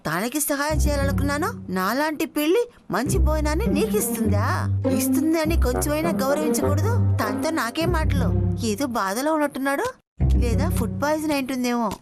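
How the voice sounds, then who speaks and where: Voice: sits high, lively intonation, brisk. An actor voicing a small, kind-hearted animal sidekick in a Telugu cartoon dub, earnest and pleading. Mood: caring, earnest. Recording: loud music under the voice. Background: loud cartoon music.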